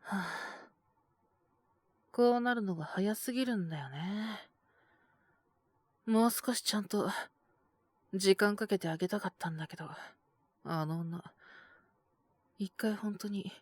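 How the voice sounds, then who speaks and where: A woman voicing a calm, protective male owner who talks in a gentle, measured, softly teasing way in an audio drama. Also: mid-range voice, husky, no echo. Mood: weary, brooding. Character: calm, protective adult male bar owner mentoring a youth. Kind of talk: Japanese situational audio drama acting.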